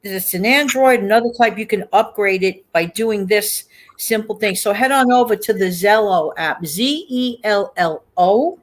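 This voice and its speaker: A woman's voice: mid-range voice, moderate pace, a little hiss or hum. A woman hosting a casual livestream, chatting in an emphatic way and repeating phrases for emphasis. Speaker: show host.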